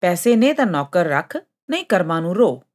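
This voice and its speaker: A woman's voice: lowish voice, big pitch swings, normal speed, a little mellow. A woman voicing a haughty, hot-tempered young female ginger-root character in a folk tale, scolding and boasting indignantly. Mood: haughty anger.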